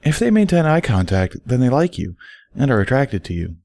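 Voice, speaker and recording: A man's voice: mid-range voice, big pitch swings, brisk, vocal fry, a little mellow, dry recording, clean studio sound, voice pushed up. A man voicing a confident, teasing, educated female pony who explains things patiently and wordily in a story reading.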